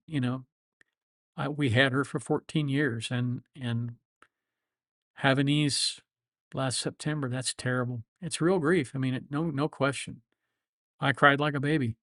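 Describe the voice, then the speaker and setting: A man's voice: medium pitch, fairly even intonation, moderate pace, long pauses, a bit bright, no echo, studio quality. A man of seventy, a lawyer hosting a livestream, chatting casually with viewers in a reflective, rambling way with many fillers and restarts. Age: 70s.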